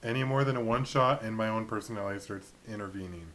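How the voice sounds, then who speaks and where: A man's voice: on the low side, fast talker, crowd noise. A man casually recapping a tabletop game as a co-host, in an informal, matter-of-fact way. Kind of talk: casual chat and recap narration.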